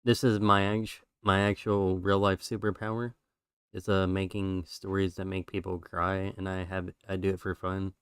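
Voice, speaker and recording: Low, flat-voiced male streamer. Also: normal speed, clean tone, no room sound, clean studio sound. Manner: relaxed, rambling with fillers. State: losing his voice. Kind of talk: casual chat showing his drawings.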